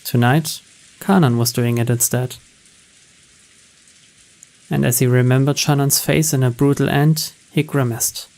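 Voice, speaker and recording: A man's voice: medium pitch, steady tone, a little slow, pauses often, a little mellow. A man reading a visual novel's story text aloud as scripted narration. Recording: music and rain behind.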